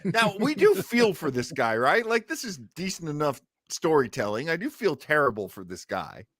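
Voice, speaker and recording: Man, co-host, casual wry commentary, teasing, animated higher pitch, dry sound. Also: normal speed, no echo. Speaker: co-host reacting to an old radio show.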